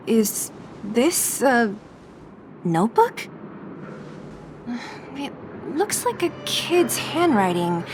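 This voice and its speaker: A woman's voice: a little high, sing-song, extremely slow, long pauses, background noise, heavily acted. A woman playing a cautious, curious young adventurer in a video game, speaking thoughtfully. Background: clear music.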